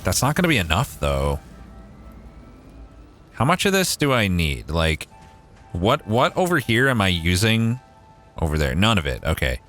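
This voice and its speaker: Canadian streamer, deep and animated, chatty and profane. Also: male voice, normal speed, pauses often, faint music behind. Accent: Canadian, Maritime. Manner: chatty, swears casually, thinks aloud while playing. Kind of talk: casual gameplay livestream chat.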